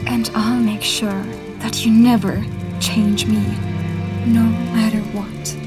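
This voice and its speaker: A young woman orphaned in a fantasy tale. Her voice is fairly high and slow, earnest and full of determination. Music swells clearly behind her. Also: little pitch movement. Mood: grieving resolve. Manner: earnest, deliberate. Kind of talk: dramatic fantasy audio drama acting. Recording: prominent music under the voice.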